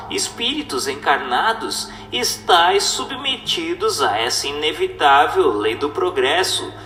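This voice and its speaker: A man playing a solemn spirit teacher in a radio drama, lecturing humankind in grave, oratorical, deliberate phrases. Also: a little high, sharp tone, exaggerated voice. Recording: echoey hall reverb, music behind.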